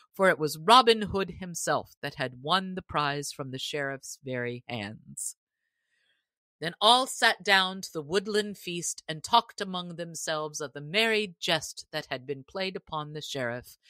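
Low-voiced woman narrating, slow and bright. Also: no room sound. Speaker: audiobook narrator. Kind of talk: scripted storybook narration.

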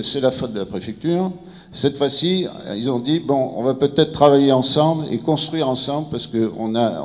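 A mature man addresses a conference in a formal, semi-improvised way. His voice sits at a medium pitch and he often stops to search for words. The handheld microphone carries long reverberation from the large room. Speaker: civil protection director presenting at a conference. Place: large indoor conference room with seated audience. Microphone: handheld microphone held near the mouth. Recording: reverberant hall sound over handheld microphone.

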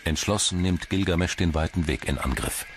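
A man reading scripted documentary narration in a measured, dramatic storytelling manner. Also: low voice. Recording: music bed behind the voice. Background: music.

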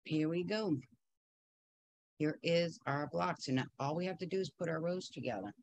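A woman's voice: low voice, normal speed, a little mellow, no room sound. A middle-aged woman teaching quilting in a casual, practical way, chatting as she pins and sews in her home sewing studio. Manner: chatty, practical, talks while working.

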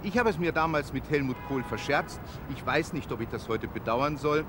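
An older man recalling stories to camera in a calm, reflective way, recorded on a rooftop outdoors in a big city. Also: a little high, moderate pace, music in the background. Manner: calm, reflective, retakes lines. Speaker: correspondent. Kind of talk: on-camera recollection to camera. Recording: outdoor take with city traffic noise.

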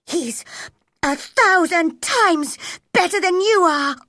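A woman's voice: extremely high pitch, slow, pushed and bright, dry recording, studio quality, voice pushed up, full character voice. A woman voicing a cross, stubborn young girl who speaks sharply and indignantly. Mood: angry.

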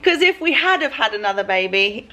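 A woman's voice: mid-range voice, big pitch swings, a little fast, bright, forceful tone. A woman in her thirties with a southern English accent, chatting casually to a handheld camera as a family vlogger. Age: 30s. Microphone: camera at arm's length. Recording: faint noise, handheld home vlog.

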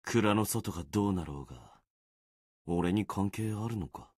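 Gloomy game sword spirit dude, slow husky muttering, down on himself, super dry close sound. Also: male voice, expressive, no room sound. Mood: gloomy, resigned. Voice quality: low, husky. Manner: brooding, resigned, muttering with trailing pauses. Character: gloomy, self-deprecating young male sword warrior spirit. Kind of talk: Japanese video game character acting.

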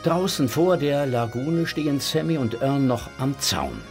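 A man's voice: medium pitch, unhurried, a little mellow, faint music behind. A man reading scripted narration for a children's audio drama.

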